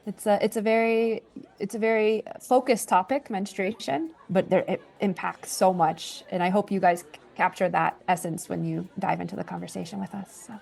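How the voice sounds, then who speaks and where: A woman's voice: mid-range voice, steady tone, moderate pace, vocal fry, a little mellow. A woman co-hosting a podcast, asking follow-up questions in an affirming way. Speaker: podcast co-host and interviewer. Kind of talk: interview.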